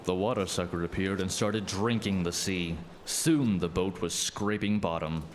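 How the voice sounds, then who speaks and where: A man's voice: sits low, normal speed, slight background noise. A man narrating a scripted folk tale as a storyteller.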